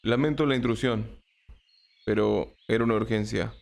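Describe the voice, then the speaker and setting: A man's voice: fairly low pitch, fairly even intonation, moderate pace, vocal fry. A man playing a bewildered, earnest knight in an audiobook, with a questioning manner. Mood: curious, earnest. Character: puzzled, earnest male knight in rusty armor. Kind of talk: audiobook character acting.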